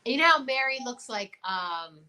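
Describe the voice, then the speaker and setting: American female co-host, bright forceful voice on the higher side, expressive and chatty. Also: moderate pace. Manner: expressive, exclamatory. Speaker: talk show co-host. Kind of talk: casual chat.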